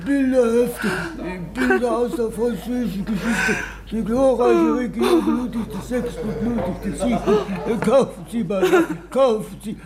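A woman's voice: on the high side, expressive, unhurried, long pauses, darkish tone, a little hiss or hum, poor audio. A woman playing a wheedling, cackling old street seller in a radio drama. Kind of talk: radio drama character acting.

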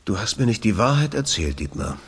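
A bitter, confrontational club owner in a radio drama. The voice is rough and husky, swings widely in pitch and echoes in a reverberant space. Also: male voice, sing-song, a little fast, exaggerated voice. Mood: accusing, insistent. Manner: confrontational, blunt questioning. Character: bitter, accusing male jazz club owner digging for truth. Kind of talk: German radio drama acting. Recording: roomy space with long reverb.

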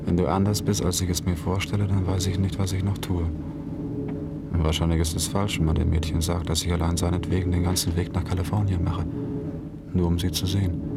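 An actor playing a lovesick, restless engineer, brooding to himself in a radio drama. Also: male voice. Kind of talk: radio drama inner monologue. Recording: noisy radio play, rumbling train ambience.

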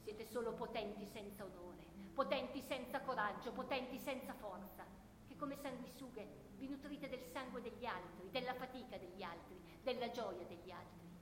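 A woman's voice: a little high, moderate pace, close to an everyday voice. A woman playing an anguished, sleepless and disillusioned soldier at war, declaiming solemnly in a theatrical radio play monologue. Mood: bitter accusation. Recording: echoey hall with clear background noise.

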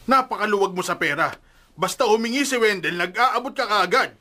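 Casting: strict radio-drama father, bright forceful voice, high-set, scolding. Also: lively intonation, a little fast. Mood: exasperated. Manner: firm, scolding. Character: stern, exasperated father who wants discipline. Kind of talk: Filipino radio drama acting.

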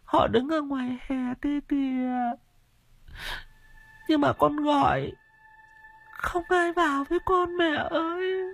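A man voicing a delirious young pregnant widow, wailing and pleading in a shrill, sobbing voice. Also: fairly even intonation, slow, gravelly, long pauses, exaggerated voice.

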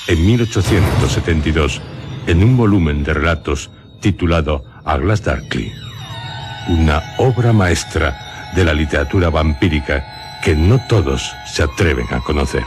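A man with a Castilian Spanish accent introducing a radio horror drama in a solemn, theatrical, suspenseful way, pausing between short phrases. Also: a bass voice. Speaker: host and narrator of a radio horror drama series. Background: music under the voice.

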